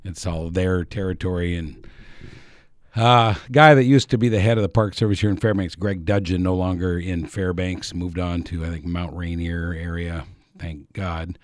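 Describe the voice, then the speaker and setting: A man's voice: low-pitched, steady tone, normal speed, no echo. A man from Alaska hosting a radio talk segment, rambling with many hesitations and chuckling asides. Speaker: radio host.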